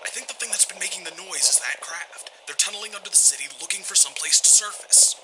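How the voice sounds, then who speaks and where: A man playing an urgent, secretive captain calling a radio show, conspiratorial and breathy, in a radio drama. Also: sits high, sharp tone, slight background noise, rough recording. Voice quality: whispery, breathy. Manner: hurried, conspiratorial.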